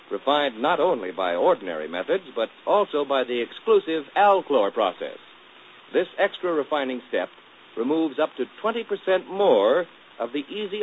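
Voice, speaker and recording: A man's voice: mid-range voice, slight background noise. A man announcing on 1940s radio, reading a commercial in a folksy, hearty salesman manner. The sound is thin and tinny, like an old broadcast.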